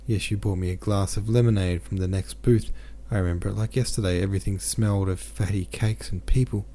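A man with a deep voice reading the lines of a sad, pleading young woman from a novel. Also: fairly even intonation, a little fast, mellow, slight background noise, natural voice. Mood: wistful.

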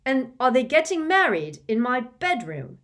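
A woman voicing an angry, sulky teenage boy wizard who talks loudly and indignantly. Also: high-pitched, big pitch swings, moderate pace, pitched above the performer's own voice. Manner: indignant, loud.

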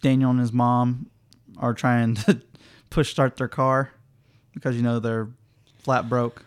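A man's voice: mid-range voice, flat delivery, measured pace, clear, resonant tone. A man from Indiana co-hosting a casual movie podcast, laid-back and joking, with an Indiana accent. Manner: laid-back, joking, self-conscious about his speech. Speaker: podcast co-host. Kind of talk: casual chat.

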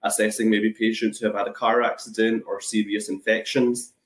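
A man with a Scottish accent explaining things thoughtfully on a webinar, with measured, hesitant phrasing. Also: lowish voice, level tone. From Scotland. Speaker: guest, anaesthesiology and intensive care resident. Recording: echoey webinar call.

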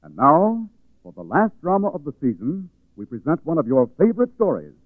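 A man's voice: a little high, wildly swooping pitch, brisk, no room sound. A man, introducing a drama as a portentous, theatrical 1940s radio narrator. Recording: narrow, muffled 1940s radio recording.

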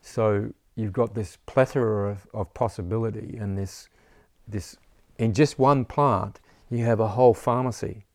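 Male herbalist, low gravelly voice, dry close mic, rambling casual talker. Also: steady tone, unhurried, no echo. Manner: rambling, repeats words, says "you know" often. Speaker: herbalist teacher. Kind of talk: casual interview chat.

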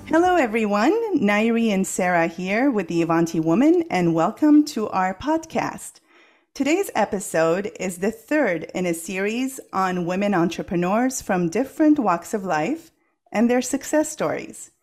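A woman's voice: medium pitch, very animated, measured pace, a little mellow. A woman hosting an interview podcast, enthusiastic and effusive with her guest. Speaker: podcast host.

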